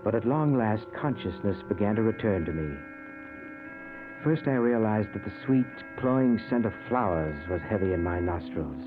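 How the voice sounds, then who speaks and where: An actor plays a dazed, anguished man who has just died, speaking in halting, theatrical 1940s radio style. The recording is muffled and narrow, with prominent music behind the voice. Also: lowish voice, lively intonation, close to an everyday voice. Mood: somber, dazed. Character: dazed, panicked newly dead man narrating his own afterlife. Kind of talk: 1940s radio suspense drama acting.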